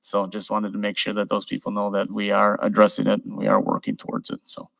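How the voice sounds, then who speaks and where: A man's voice: lowish voice, completely flat delivery, fast talker, gravelly, a bit bright, no echo, rough recording. A man chairing a city council meeting, speaking informally and giving thanks to city staff. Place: council chamber. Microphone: desk microphone.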